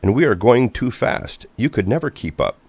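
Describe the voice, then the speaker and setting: A sleek female passenger engine in a children's storybook, voiced by a man. She is important and condescending, with brisk, lively intonation in a dry recording. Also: mid-range voice, creaky, breathy edge, no room sound, pitched above the performer's own voice, exaggerated voice.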